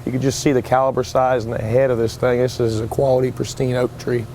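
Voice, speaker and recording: Man, fast-talking foreman, flat delivery, outdoors with faint site noise. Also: medium pitch. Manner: brisk, matter-of-fact, working-crew talk. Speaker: job foreman. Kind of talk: on-site progress update. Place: outdoors at a windy beach-side job site.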